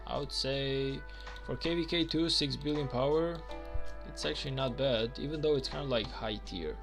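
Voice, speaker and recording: A man's voice: medium pitch, normal speed, gravelly, music in the background. A young man from Albania, chatting casually on a game stream and answering viewer questions with lots of fillers. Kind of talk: casual live-stream chat.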